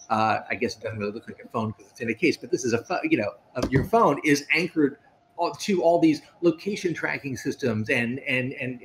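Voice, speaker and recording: Middle-aged man, halting speech, noisy call. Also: on the high side. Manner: halting, repeating words. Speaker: panelist, tech reporter and game designer. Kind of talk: casual panel discussion. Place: indoor room at home. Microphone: video call. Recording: noisy home video-call audio.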